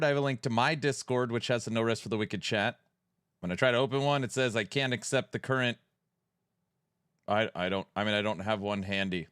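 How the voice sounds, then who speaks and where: A man's voice: medium pitch, fairly bright tone, smooth, dry recording, clean studio sound. Chatty forty-year-old male streamer. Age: 40s. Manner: chatty, reads viewer messages aloud. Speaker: streamer host. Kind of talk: casual live-stream chat.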